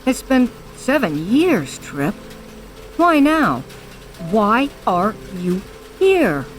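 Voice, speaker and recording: A woman's voice: a little high, big pitch swings, extremely slow, slight background noise, full character voice. An actress playing a guarded, stern woman hiding from her past in an audio drama, speaking tersely and firmly. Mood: wary.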